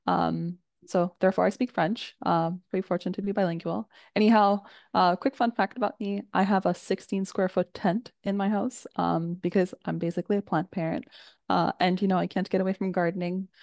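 Gravelly-voiced woman chatting casually. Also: on the low side, brisk, dry recording, clean studio sound. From Canada. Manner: relaxed, filler-heavy. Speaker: panelist, software engineer. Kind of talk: casual chat.

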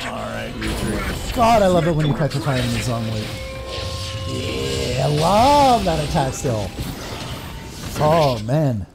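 A man's voice: mid-range voice, big pitch swings, slow pace, creaky. A man in his thirties giving casual playthrough commentary as a gaming streamer in a room at home. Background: game music and sound.

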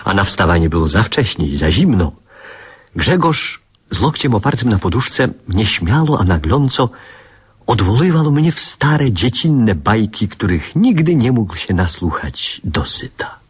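An actor playing a wistful grown man who narrates memories of his boyhood in a measured, reflective radio drama style. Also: on the low side, sing-song, breathy edge, heavily acted.

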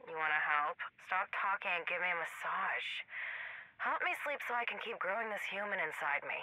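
A woman's voice: fairly low pitch, animated, fairly quick, pushed and bright, no room sound, heavily acted, poor audio. An actress playing a level-headed, teasing pregnant woman in a video game, with a wry, reassuring way of talking. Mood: wry, exasperated. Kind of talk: naturalistic game dialogue.

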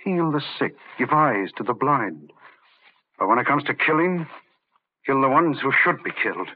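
An actor playing an intense, rational but rattled doctor in an old-time radio drama, speaking urgently and emphatically. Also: male voice, mid-range voice, expressive, normal speed, a little hiss or hum, close to an everyday voice. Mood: urgently excited. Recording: muffled narrow-band old radio recording.

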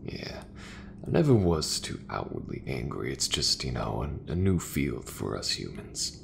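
Man voicing a deep, gravelly, gentle mech mechanic. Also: sing-song, a little hiss or hum, exaggerated voice. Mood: reflective, easygoing. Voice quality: very low, soft, breathy murmur, often near whisper. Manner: unhurried, reassuring, folksy. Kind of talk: ASMR roleplay.

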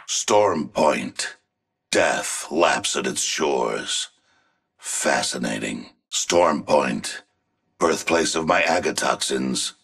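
Very deep, morbid mad scientist. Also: male voice, lively intonation, a bit bright, full character voice. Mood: cold fascination. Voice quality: gravelly. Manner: slow, ominous, detached. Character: cold, morbid male toxin scientist.

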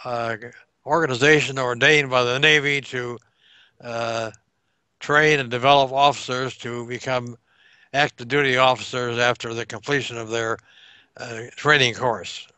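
A man in his nineties, a war veteran, recalling his past slowly over a video call, pausing to get dates right. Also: medium pitch, steady tone, sharp tone, clear, resonant tone, no room sound. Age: 90s. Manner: slow, reflective recollection with pauses to recall dates. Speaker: World War Two veteran interviewee. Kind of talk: oral history interview over video call. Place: home room by a staircase. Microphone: headset on video call.

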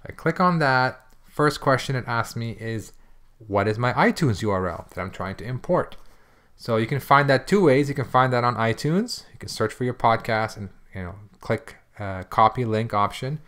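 Man, medium pitch, casual tutorial narration, informal, step-by-step explaining, software demonstrator. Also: lively intonation, normal speed. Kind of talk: casual screencast tutorial walkthrough.

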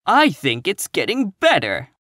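A narrator voicing a plump, timid but cheerful middle-aged woman in a storybook, earnest and gently insistent in her optimism. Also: medium pitch, big pitch swings, measured pace, no room sound, studio quality. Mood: mildly protesting.

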